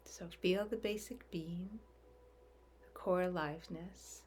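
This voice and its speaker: Slow, lower-pitched woman guiding meditation. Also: a little hiss or hum. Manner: gentle, unhurried, calming, with long pauses. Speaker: guided meditation teacher. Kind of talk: guided meditation.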